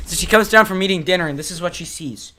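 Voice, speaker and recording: A male podcast co-host telling a goofy improvised story, silly and jumping between whispers and shouts. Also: high-pitched, fast, crowd noise.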